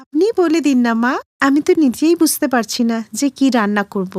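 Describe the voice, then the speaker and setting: A woman's voice: high voice, lively intonation, a little fast, darkish tone, no echo, clean studio sound. A woman voicing a young newlywed daughter-in-law in a cartoon, polite, earnest and questioning.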